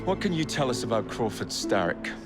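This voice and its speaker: Male actor as cheeky, impatient young assassin for a game; hugely varied pitch, brash attitude, score underneath. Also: very animated, brisk, loud music behind the voice, close to an everyday voice. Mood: casual, cocky. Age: young adult. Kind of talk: video game cutscene acting.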